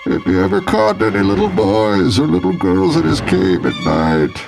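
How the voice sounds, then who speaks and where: A man's voice: lowish voice, wildly swooping pitch, vocal fry, over music, exaggerated voice. A man voicing a menacing, grandiloquent villain who tells horror tales in a theatrical radio style. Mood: ominous storytelling. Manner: grandiloquent, dramatic, drawn-out delivery. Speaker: storyteller.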